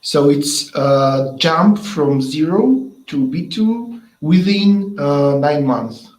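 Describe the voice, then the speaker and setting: Man interviewer, Russian native, slightly high, halting, echoey room. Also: expressive, darkish tone. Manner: halting, searching for words. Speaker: interviewer from the language institute. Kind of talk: casual interview.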